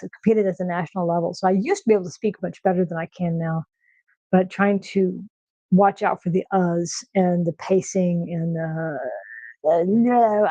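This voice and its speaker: A woman with a soft nasal voice chatting casually on a livestream from her kitchen, with lots of fillers and restarts. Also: fairly low pitch, no echo, low-quality recording. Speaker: streamer host. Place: home kitchen.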